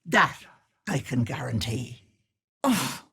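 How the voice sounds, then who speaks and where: A woman's voice: very deep voice, sing-song, takes it slowly, gravelly, bright, forceful tone, slightly rough, exaggerated voice. A woman voicing a wicked old hag who poses as a sweet, folksy auntie in a video game, with cloying endearments and theatrical menace. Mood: folksy, sly. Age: older. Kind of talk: video game character acting.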